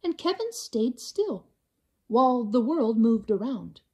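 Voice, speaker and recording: High-pitched, animated woman reading a story. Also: husky. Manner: theatrical, drawn-out delivery. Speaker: teacher reading aloud to children. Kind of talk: expressive storybook read-aloud in rhyme.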